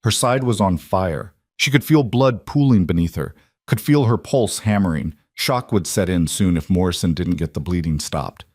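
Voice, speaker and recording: A man reads a dramatic story in a low, steady voice. The sound is dry and close. His delivery stays measured and even. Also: no room sound. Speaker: narrator. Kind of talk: scripted story narration.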